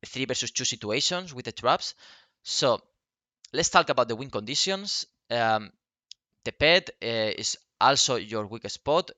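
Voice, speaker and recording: A man who is not a native English speaker, giving unscripted game strategy commentary in a halting, instructional way. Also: mid-range voice, pushed and bright, smooth, dry recording. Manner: halting, instructional, often checking with "okay?".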